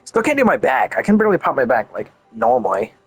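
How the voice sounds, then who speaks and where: A man's voice: mid-range voice, sing-song, fast talker, husky. A man doing casual gameplay commentary, rambling and thinking aloud as he streams. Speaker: game streamer. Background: game music.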